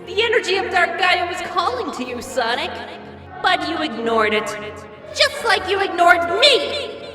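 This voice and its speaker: Squeaky, echoing, obsessed girl hedgehog villain. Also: big pitch swings, heavily acted. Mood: bitter, obsessed. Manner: theatrical, drawn-out gloating. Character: young female hedgehog, obsessed, taken over by dark energy. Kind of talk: fan audiobook voice acting. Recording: echoey hall reverb, music underneath.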